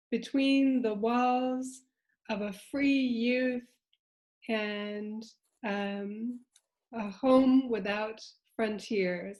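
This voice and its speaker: A woman on a translation prize committee, talking in a slow, reflective way with many pauses and fillers in a roundtable discussion. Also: on the high side, a little mellow, rough recording. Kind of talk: roundtable discussion, reading poetry aloud.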